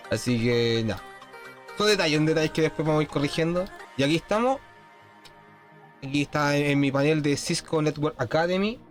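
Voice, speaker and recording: A man's voice: a little high, expressive, fairly quick, faint music behind. A man, slightly congested with a cold, casually walking through quiz answers in a programming tutorial and peppering his talk with "¿cierto?". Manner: keeps asking "¿cierto?", many fillers and restarts. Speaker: programming course instructor.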